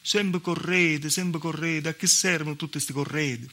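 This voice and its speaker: A stage actor as grumbling, stubborn village men, bright-voiced. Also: male voice, a little high, a little slow, voice pushed up. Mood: grumbling, insistent. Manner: complaining, insistent, repetitive chant. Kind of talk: theatrical monologue, quoted crowd voices. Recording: noisy live theatre recording.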